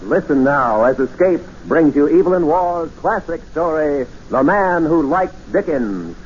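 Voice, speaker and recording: A man's voice: a little high, lively intonation, unhurried, slight background noise. A man announcing an old-time radio drama in a declamatory style. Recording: dull old radio broadcast.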